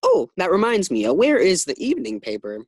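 A man's voice: high voice, wildly swooping pitch, brisk, no echo, clean studio sound, heavily acted. A man playing a flustered, boastful, naive husband who stammers when rattled, in an old-time radio sitcom style. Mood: puzzled.

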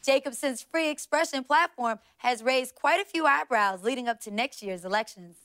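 An actress playing a television news anchor reading scripted reports. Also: female voice, sits high, normal speed, background noise, close to an everyday voice.

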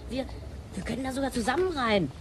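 A woman's voice: on the high side, lively intonation, fast. A female performer playing a stubborn, brash child from the future in a radio play, bossy and emphatic. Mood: boastful. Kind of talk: German radio play acting. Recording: clear background noise.

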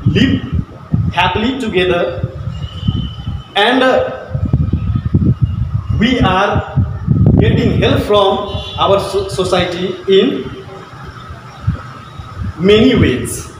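A man in his thirties with a Nepali accent, a Maithili speaker, teaching a school lesson to camera in short, halting phrases. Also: high voice, heavy background noise. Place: classroom with whiteboard, indoors. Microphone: far camera. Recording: echoey room from far off.

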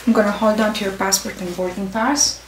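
A young woman playing a stern, methodical airport security officer in a soft-spoken ASMR roleplay, deliberate and deadpan. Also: mid-range voice, lightly acted. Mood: stern, procedural. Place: small indoor room set. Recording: roomy, distant, noisy with clicks.

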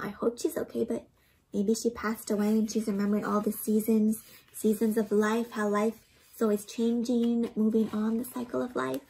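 A young woman doing an interactive storybook read-aloud for children, expressive and gently teacherly, often putting questions to her listeners. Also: on the high side, husky, rough recording. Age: young adult. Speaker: children's storybook reader and host. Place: small indoor room with books and plants.

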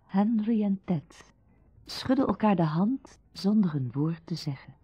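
An actress playing the storyteller of a radio drama, narrating a summer tale. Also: female voice, medium pitch, expressive, measured pace, dark tone, slightly rough. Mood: calm storytelling. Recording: dull, roomy old radio recording.

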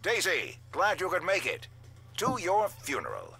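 A man's voice: high-pitched, wildly swooping pitch, a little slow, gravelly, sharp tone, full character voice. An actor playing a smug, scheming male villain in a video game, with a pompous, theatrical manner.

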